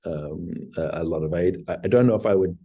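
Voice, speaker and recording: A man in his forties talks through his experience as a founder. His voice is husky and low. He pauses often, piling up fillers and restarting sentences as he reflects. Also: warm tone. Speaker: startup CEO guest. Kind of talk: interview answers.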